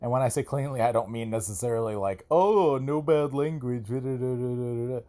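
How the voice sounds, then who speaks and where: A man with a mid-pitched voice hosts a solo podcast in a casual, conversational way. He talks emphatically and often repeats words to drive a point home. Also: brisk, mellow. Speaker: podcast host.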